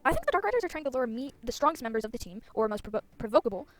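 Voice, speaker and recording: A woman's voice: fairly high pitch, very animated, racing, faint music behind. A woman giving casual gameplay commentary, chattily thinking aloud as she plays. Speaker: gamer narrating own play.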